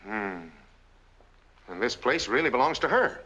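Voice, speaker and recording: An actor playing a brisk, insistent male investigator in a 1940s film noir, blunt and probing. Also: mid-range voice, sing-song, sharp tone, highs cut off, full character voice. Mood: pressing, suspicious. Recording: vintage film soundtrack, faint noise.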